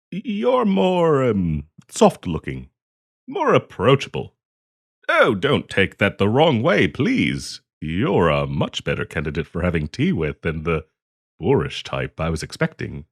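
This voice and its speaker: A man as a courteous, flustered half-dragon, lilting and unhurried. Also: on the low side, huge pitch swings, gravelly, heavily acted. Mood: apologetic, flattering. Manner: courteous, genteel, hesitant and eager to please, chuckling often. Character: polite, flustered half-dragon diplomat hosting tea in his cave. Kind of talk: roleplay audio acting, addressed to the listener.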